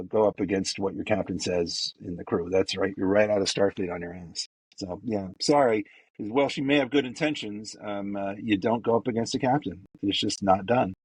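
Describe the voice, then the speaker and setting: Low husky guy on a podcast, blunt and emphatic, dry sound. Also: dry recording, rough recording. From United States. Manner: emphatic, blunt, repeats points firmly. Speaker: podcast co-host. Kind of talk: opinionated casual chat.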